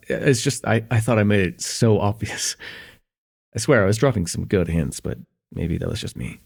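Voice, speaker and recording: A man playing a shy, smitten young student in an intimate ASMR roleplay, with an earnest, hesitant delivery and a husky, slightly gravelly voice. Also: lowish voice, moderate pace. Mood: bashful confession. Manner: hesitant, earnest, stammering, chuckling softly between phrases.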